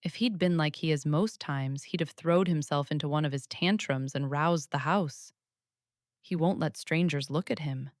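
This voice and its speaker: A woman's voice: deep voice, moderate pace, no echo, clean studio sound, lightly acted. A woman voicing a flustered young Yorkshire housemaid who exclaims and frets in dialect, in a storybook reading. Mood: amazed. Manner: exclaiming, dialect speech, agitated.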